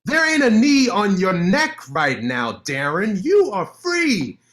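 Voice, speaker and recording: A man's voice: sits high, huge pitch swings, takes it slowly, fairly bright tone, full character voice. Guy playing a scornful proud lawyer, sarcastic and cutting, voice jumps up and down a lot. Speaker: actor in a staged reading. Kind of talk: staged play reading.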